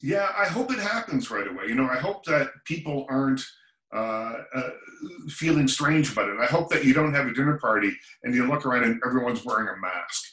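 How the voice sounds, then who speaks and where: A man from Nova Scotia hosts the interview, putting curious questions with halting fillers. His voice sits at a middle pitch and rings in a roomy, echoing space. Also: expressive, bright, forceful tone. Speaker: interviewer host. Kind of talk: casual interview. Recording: roomy echo.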